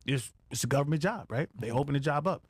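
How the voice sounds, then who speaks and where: A man's voice: mid-range voice, quick pace, breathy edge, no room sound. A middle-aged man chatting casually as a podcast guest, slangy and emphatic, repeating phrases to drive points home. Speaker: guest, retired air traffic controller.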